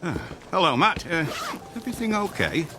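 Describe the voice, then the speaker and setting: A man's voice: high voice, wildly swooping pitch, moderate pace, very noisy. An actor in a radio drama playing a neighbourly island mailman in his sixties, folksy and a little hesitant. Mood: friendly, concerned. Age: 60s.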